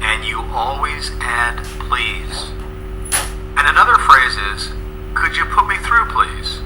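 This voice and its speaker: A man narrating a scripted English lesson in a measured, explanatory way, as a presenter. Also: medium pitch, very animated, noisy background.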